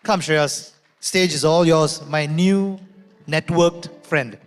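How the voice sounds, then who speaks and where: A male conference emcee with a high voice brings the next speaker on stage. He is upbeat and playful, and his words ring out with a long echo in a large hall. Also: takes it slowly, soft background music. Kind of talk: stage introduction.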